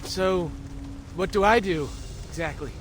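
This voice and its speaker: A man playing a timid, hesitant young mutant pacifist who stammers, in an animated video game. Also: high-pitched, a little hiss or hum. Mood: nervous, hesitant. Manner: halting, stammering.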